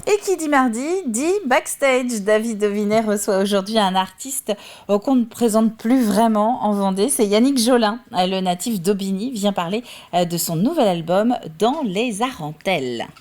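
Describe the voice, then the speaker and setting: A woman presenting a TV morning show, announcing segments in a brisk, scripted way. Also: medium pitch, darkish tone, soft background music.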